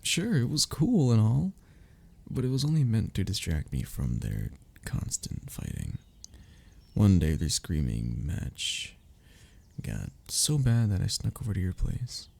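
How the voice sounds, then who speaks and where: A man's voice: lowish voice, wildly swooping pitch, unhurried, creaky, darkish tone, husky, full character voice. A man playing a sentimental, teasing young man in an ASMR roleplay, talking intimately and conversationally to a childhood best friend. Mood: reflective storytelling. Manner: intimate, conversational, with sighs and chuckles. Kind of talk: ASMR roleplay audio drama.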